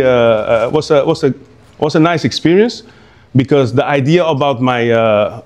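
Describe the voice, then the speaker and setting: Middle-aged man, artist speaking to a live audience; loose, meandering delivery with frequent ums and restarts, average pitch. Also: darkish tone. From Curaçao. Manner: rambling, hesitant, many fillers and restarts. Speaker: artist giving a talk. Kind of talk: informal artist talk to an audience.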